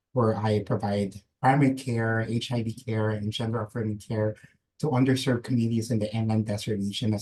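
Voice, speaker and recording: A man whose first language is Filipino, presenting as a nurse practitioner on a video-call webinar, speaking slowly and gently as he leads a grounding exercise. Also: on the low side, breathy edge, poor audio. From Philippines. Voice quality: slow, measured, gentle invitational delivery. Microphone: computer mic on a video call.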